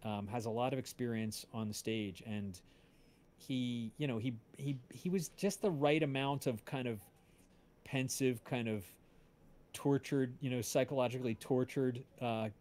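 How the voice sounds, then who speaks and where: A man's voice: mid-range voice, unhurried, a little mellow. American man, hesitant casual talker. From New Jersey, United States. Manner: hesitant, rambling, searching for words. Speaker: podcast livestream host and casting director. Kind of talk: casual chat.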